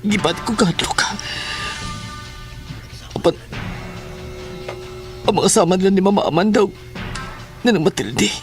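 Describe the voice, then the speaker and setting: A man playing a troubled young son who narrates his fear in a horror audio drama, earnest and halting. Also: sits high, music in the background. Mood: fearful, pondering.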